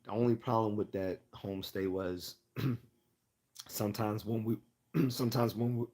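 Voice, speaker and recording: A man hosting a casual livestream Q&A, chatting and rambling as he loses his voice and keeps clearing his throat. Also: fairly low pitch, steady tone, measured pace, darkish tone. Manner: rambling, chatty, laughs often. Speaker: livestream host.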